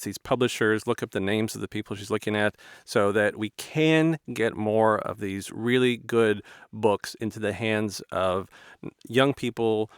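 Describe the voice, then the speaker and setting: A man hosting an interview show in a conversational, somewhat halting and rambling way, often repeating words. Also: fairly low pitch, clean tone, dry recording, clean studio sound. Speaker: host interviewer.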